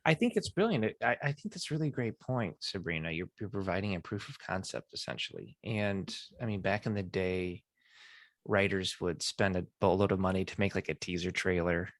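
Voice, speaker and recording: A man's voice: low-pitched, expressive, a little fast, no room sound. A man hosting a podcast interview, enthusiastic and encouraging toward his guest. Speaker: podcast host. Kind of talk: casual interview chat.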